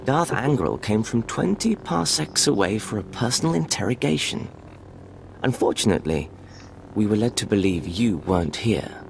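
An actor playing a pompous, sneering Sith villain, cold and theatrical, in a video game cutscene. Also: male voice, lowish voice, expressive, moderate pace, gravelly, slight background noise. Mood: mocking. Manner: mocking, pompous.

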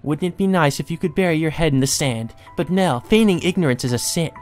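A man plays a deranged teenage sister in a gothic game, pitched high above a normal male voice. She taunts with sweet cruelty, drawing out her coaxing words theatrically over background music. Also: brisk, a little mellow, natural voice. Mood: scornful. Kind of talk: acted reading of a visual novel game.

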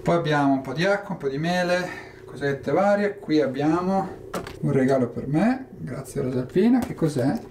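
A man's voice: fairly high pitch, animated, normal speed, darkish tone, slight background noise. A young man talking to a handheld camera as a travel vlogger, chatty and upbeat, speaking directly to his viewers. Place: mountain outdoors and hotel room. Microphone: handheld camera at arm's length.

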